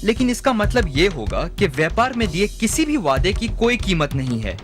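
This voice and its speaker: A man acts out a greedy, scheming moneylender for a cartoon moral tale. His voice is high and very animated, stubborn and insistent while fawning to authority. Light music plays underneath. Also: normal speed. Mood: sly. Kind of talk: animated moral story acting in Hindi. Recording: music bed behind the voice.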